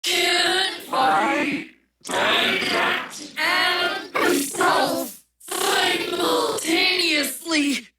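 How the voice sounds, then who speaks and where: A woman voicing a fragmented, bitter female AI in a video game, unstable and menacing, with a halting, intense delivery. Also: very high-pitched, loud music behind the voice, heavily acted, poor audio. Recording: heavy noise and clicks over voice.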